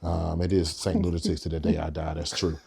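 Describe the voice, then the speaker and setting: A man with a very low voice talks as a guest on a podcast. His delivery is playful and easygoing, full of casual asides. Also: little pitch movement, brisk, vocal fry, a little mellow, husky.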